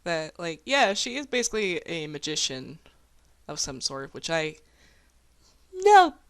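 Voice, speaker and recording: A woman's voice: fairly low pitch, huge pitch swings, unhurried, no room sound. A woman chatting casually among fellow hobby voice actors. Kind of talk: casual chat.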